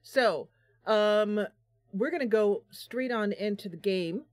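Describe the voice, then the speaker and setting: A woman's voice: mid-range voice, takes it slowly, a little mellow, dry recording. Chatty, bubbly woman streamer, close dry sound. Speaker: live stream host, gamer. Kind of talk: casual live stream chat.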